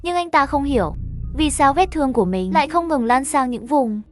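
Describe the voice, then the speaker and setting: A woman reading scripted narration for an animated horror story recap. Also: high-pitched, fairly quick, soft background music.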